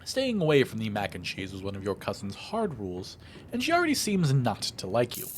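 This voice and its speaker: A man's voice: mid-range voice, brisk, slight background noise. A man narrating a video game playthrough, reading the text aloud theatrically and adding his own casual commentary. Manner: expressive, theatrical read-aloud delivery. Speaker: let's play host.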